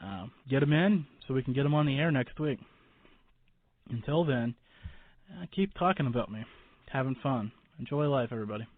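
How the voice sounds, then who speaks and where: A man hosting a radio show in a casual, rambling, informal way with halting starts. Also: mid-range voice, animated. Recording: boxy phone-like line with room echo.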